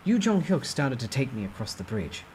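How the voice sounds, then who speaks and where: Casting: expressive man for dramatic fiction narration, widely varied pitch, slight noise in the recording. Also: normal speed, poor audio. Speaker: storyteller. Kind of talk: dramatic reading of a novel.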